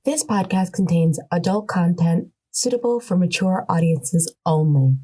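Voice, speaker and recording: A woman's voice: on the low side, animated, measured pace, warm tone, husky. A woman reading scripted narration as the narrator of a horror podcast.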